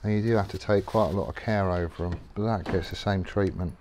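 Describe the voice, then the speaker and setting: A man's voice: low voice, steady tone, fairly quick, darkish tone, slight background noise. A middle-aged man casually explaining his tool care while working in a garage workshop, rambling and matter-of-fact with many ums. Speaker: workshop vlogger.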